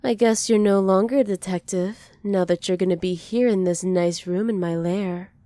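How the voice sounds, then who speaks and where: Girl doing a dominant villainess for asmr roleplay, soft teasing menace, mellow dark voice, super dry close sound. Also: mid-range voice, fairly even intonation, moderate pace, dry recording, natural voice. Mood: commanding, possessive. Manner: soft, teasing menace with breathy whispered endearments. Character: dominant, possessive female villain doting on a captive.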